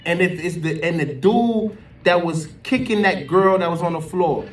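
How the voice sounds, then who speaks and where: High-voiced American man, emphatic and distant. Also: normal speed, slight background noise. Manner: emphatic, repetitive, colloquial. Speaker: social media commentator. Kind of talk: unscripted opinion rant to camera. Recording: voice distant from the mic.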